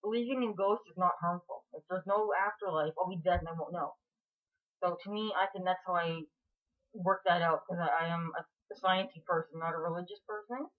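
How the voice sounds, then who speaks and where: A woman's voice: lowish voice, a little fast. A woman in her twenties from Canada, talking frankly and earnestly to camera in a casual vlog. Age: 20s. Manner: frank and earnest, with frequent restarts and fillers. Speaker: vlogger. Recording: tinny phone-like sound.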